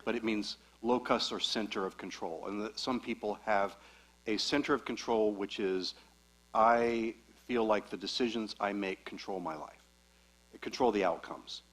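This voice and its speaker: A man speaking as a guest psychologist at a church talk, explaining concepts clearly and patiently. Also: on the low side, moderate pace, a bit bright.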